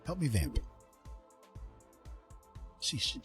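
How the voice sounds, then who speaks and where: A man with a husky voice hosting a casual livestream, talking in a rambling, joking way. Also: extremely slow, fairly bright tone, faint music behind. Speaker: streamer host.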